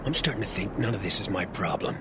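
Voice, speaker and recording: Low-voiced brooding antihero. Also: male voice, animated, fast talker, background noise. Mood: wary, sullen. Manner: terse, sardonic, grim. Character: brooding, cynical, world-weary male action antihero. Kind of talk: video game narration and dialogue.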